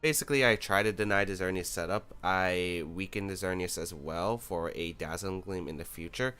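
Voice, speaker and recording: Low, smooth-voiced man, slightly sick. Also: normal speed. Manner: thinks aloud, analytical, relaxed with fillers. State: a little sick. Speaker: YouTube competitive gaming host. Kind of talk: casual gameplay commentary.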